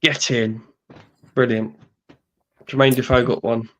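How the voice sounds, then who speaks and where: A man's voice: medium pitch, level tone, measured pace. English man in his thirties, dull lo-fi audio. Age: 30s. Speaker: podcast co-host. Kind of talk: casual chat.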